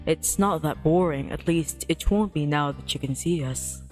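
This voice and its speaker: A woman voicing a gentle, reassuring male guardian tiger spirit in a visual novel, soft-spoken and earnest. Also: low-pitched, moderate pace, faint music behind, natural voice.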